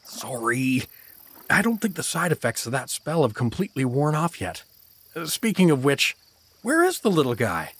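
An actor playing a good-natured, blundering adventurer in a fantasy audio drama, awkward, apologetic and expressive. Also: male voice, mid-range voice, normal speed, slightly rough, no echo, exaggerated voice. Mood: sheepish.

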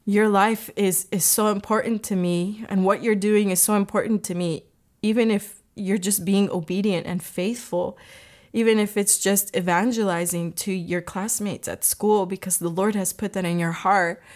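A young woman speaks in an earnest, heartfelt way about her faith. Her voice sits at an ordinary middle pitch and now and then catches with emotion. The delivery is personal and unhurried. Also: steady tone, darkish tone. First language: English. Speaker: podcast host.

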